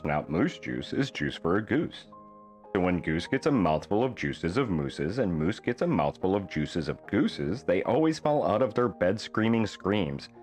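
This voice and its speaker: A man reading a rhyming children's bedtime story aloud in a playful, theatrical manner with deliberate phrasing. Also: lowish voice, a little mellow. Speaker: storybook reader.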